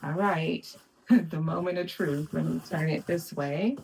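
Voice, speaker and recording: A woman vlogger chatting casually through a purse unboxing, in an even, monotone manner with frequent pauses. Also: low-pitched, gravelly, a little hiss or hum. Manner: monotone, self-described.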